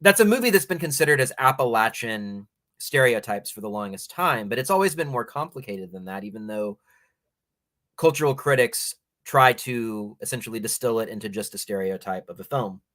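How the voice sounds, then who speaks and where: Measured, bookish male commentator. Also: medium pitch, expressive, fairly bright tone, no echo. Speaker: host of a culture commentary show. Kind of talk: opinionated film commentary with passages read aloud.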